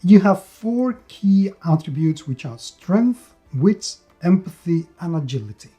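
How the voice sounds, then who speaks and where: A man's voice: sits high, sing-song, a little slow, warm tone, lo-fi sound, soft background music. A man hosting a tabletop game review, speaking enthusiastically and stressing words by repeating them. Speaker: host and reviewer of tabletop role-playing games. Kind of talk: scripted-sounding video review.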